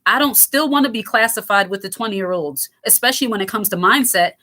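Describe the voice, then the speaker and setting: A woman in her thirties with a raspy, lower voice, hosting a casual commentary that answers viewer comments. She talks in a frank, lighthearted way, with lots of fillers and restarts. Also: a little fast, pushed and bright. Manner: fillers and self-interruptions. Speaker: host.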